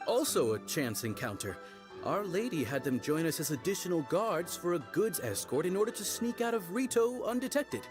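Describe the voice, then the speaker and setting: An actor playing a loyal, reserved retainer who speaks in a measured, formal way in a video game. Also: male voice, on the high side, big pitch swings. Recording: game audio with background music.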